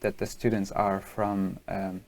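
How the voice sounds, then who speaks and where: A man's voice: deep voice, flat delivery, gravelly, a little mellow. A young man with a Dutch accent, a student teacher reflecting earnestly on a video call. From Netherlands. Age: young adult. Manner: earnest, halting. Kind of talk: casual reflection.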